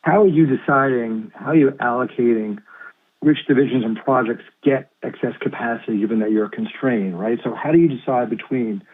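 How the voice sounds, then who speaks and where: A man's voice: mid-range voice, a little fast. A man putting questions as a financial analyst on an earnings call, over a tinny phone line.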